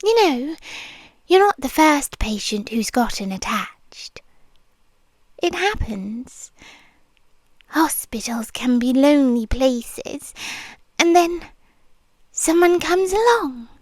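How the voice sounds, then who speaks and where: A British woman playing a possessive nurse who whispers slowly and softly right at the ear. Her voice sits high, and the sound is close and completely dry, with no room around it. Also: expressive, no echo. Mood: coaxing. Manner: slow, soft, intimate whispering close to the ear. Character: possessive female nurse, soft and controlling. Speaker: ASMR roleplay performer. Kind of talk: whispered ASMR roleplay.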